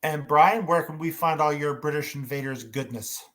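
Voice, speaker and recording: A man's voice: on the high side, normal speed, a bit bright. A man moderating a casual online panel discussion, talking like an enthusiastic fan over a video call.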